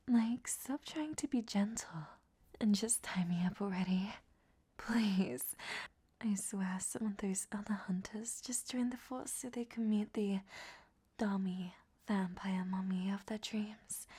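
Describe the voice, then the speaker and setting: Casting: actress as a seductive captive vampire woman for whispered ASMR roleplay, soft dark tone, unhurried, teasing delivery. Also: mid-range voice. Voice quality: breathy whisper. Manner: slow, sultry, teasing. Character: flirtatious, teasing, captured female vampire.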